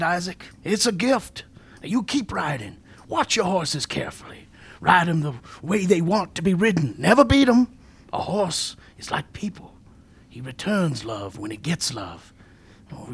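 A man playing a weary old male jockey who talks slowly and drops into hushed whispers. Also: fairly high pitch, slightly rough. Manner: slow, dropping to hushed whispers.

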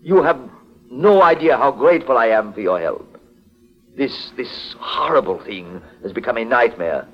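Man acting anxious, courteous foreign gentleman, old radio. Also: mid-range voice, expressive, normal speed, natural voice. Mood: guarded, grateful. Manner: formal, hesitant, courteous. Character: polite, anxious foreign exporter of African curios. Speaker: radio actor. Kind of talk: 1940s radio detective drama acting. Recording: dull old 1940s radio broadcast.

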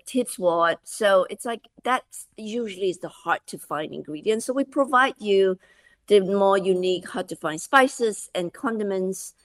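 A middle-aged woman from Malaysia, a guest talking in an animated, conversational way with many restarts. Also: medium pitch, dry recording. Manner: animated, with frequent restarts and "you know". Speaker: guest, food subscription founder. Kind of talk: conversational interview.

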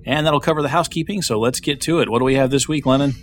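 A man's voice: mid-range voice, very fast, a little hiss or hum. A man co-hosting a podcast, bantering casually and joking, trailing off with fillers. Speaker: podcast co-host.

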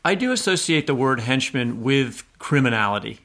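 A man's voice: mid-range voice, expressive, moderate pace, fairly bright tone. A man co-hosting a podcast, talking casually about books and thinking aloud as he makes his case. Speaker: podcast co-host. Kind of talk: casual literary discussion.

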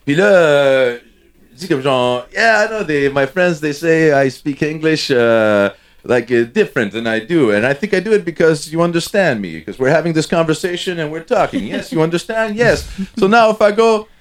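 A man's voice: fairly high pitch, lively intonation, normal speed. A man with a Franco-Ontarian French accent chatting casually and telling stories in slangy French mixed with English. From Ontario, Canada. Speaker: touring band member, guest. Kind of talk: casual bantering chat, storytelling.

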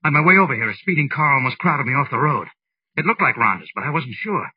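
An anxious, proper executive in an old radio mystery. His husky voice moves with lively swings, earnest and worried, through a dull vintage broadcast sound. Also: mid-range voice, fast talker, no room sound. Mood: alarmed. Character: earnest, anxious young male business executive in love. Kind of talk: 1940s radio drama acting. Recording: muffled old-time radio recording.

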